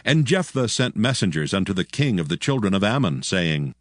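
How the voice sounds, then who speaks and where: A man narrating a dramatized Bible in a measured, formal storytelling manner. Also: low voice, sing-song, no echo, studio quality.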